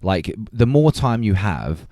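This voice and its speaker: A man's voice: lowish voice, big pitch swings, slow, warm tone, dry recording, studio quality. A man hosting a podcast, arguing his points emphatically in a conversational film debate. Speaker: podcast host.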